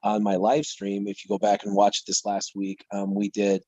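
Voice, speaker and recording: A man with a low, husky voice rambles through a story on a live panel chat. He talks casually and animatedly. The sound is dry, with little room. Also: monotone, moderate pace, darkish tone, no echo, dull audio. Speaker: streamer and panelist.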